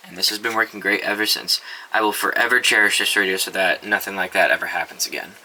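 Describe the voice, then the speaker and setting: A young man talks through his radio collection in an offhand, loose way, swearing casually and stumbling through restarts. His voice is low-set yet very bright and forceful, with a faint hiss in the background. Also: steady tone, fast talker, low-quality recording. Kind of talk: casual show-and-tell review.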